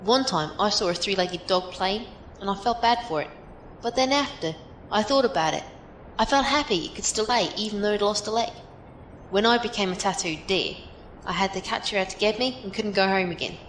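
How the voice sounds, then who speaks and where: A woman's voice: animated, a little fast, a bit bright, lightly acted. A woman playing a plainspoken, philosophical survivor in an audio drama, giving encouraging, matter-of-fact advice. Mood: frank, encouraging. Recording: roomy echo, faint background rumble.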